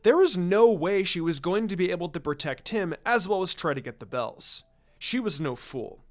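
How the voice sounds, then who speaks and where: A man narrates fiction aloud in an expressive storytelling style. His voice sits a little high for a man and moves with animated intonation. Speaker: story reader.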